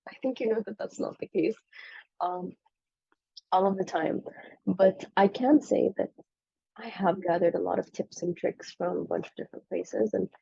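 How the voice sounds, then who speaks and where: A young woman in her twenties talks through a presentation in a casual, frank way, poking fun at herself. Her voice is deep in vocal fry and mellow, a little husky from the tail end of a flu. The recording is dry and dull. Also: no echo. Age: 20s. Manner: conversational, frank, self-deprecating. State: recovering from flu, voice slightly off. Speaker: medical student presenting a talk.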